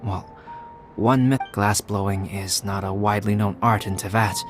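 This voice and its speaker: Game role: gentle, scholarly young alchemist voiced by a man, soft-spoken, measured explanations, clear music bed behind. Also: lowish voice, fairly even intonation, natural voice. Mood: explaining. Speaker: game character. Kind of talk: video game voice acting.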